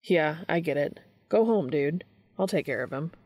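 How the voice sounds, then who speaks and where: A woman's voice: sits low, animated, moderate pace, a little mellow, husky, no echo. A woman voicing an easygoing, caring young man in an audiobook, casual and joking. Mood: earnest.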